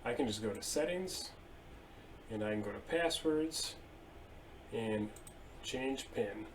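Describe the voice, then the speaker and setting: A man in his thirties at his desk, casually explaining software settings step by step with a few fillers. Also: medium pitch, lively intonation, takes it slowly, a little hiss or hum, low-quality recording. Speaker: IT tutorial presenter. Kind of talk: screen-share software walkthrough. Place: indoor room at a desk.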